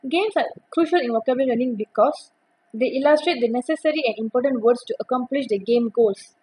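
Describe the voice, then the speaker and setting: A young woman, reading a prepared academic presentation on teaching vocabulary, steady with occasional restarts on words. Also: a little high, quick pace, breathy edge, rough recording. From Malaysia.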